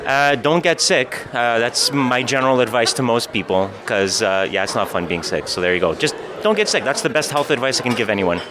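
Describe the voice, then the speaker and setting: A man's voice: medium pitch, expressive, fairly quick, hardly any pauses. A man with a wry, dryly joking manner, chatting casually as an interview guest. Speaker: conference speaker being interviewed. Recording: crowd chatter at busy venue.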